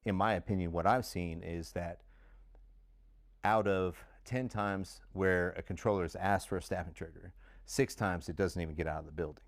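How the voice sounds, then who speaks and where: A middle-aged American man with a very low voice answers interview questions off the cuff. His phrasing is conversational and a bit halting, with words repeated as he goes. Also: steady tone, no echo, clean studio sound. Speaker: former air traffic controller being interviewed.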